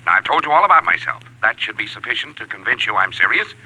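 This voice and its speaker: A man playing a driven, commanding gentleman with formal, forceful diction in 1940s radio drama. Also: on the low side, very animated, fast, husky, dry recording, exaggerated voice, poor audio. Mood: firm, persuasive.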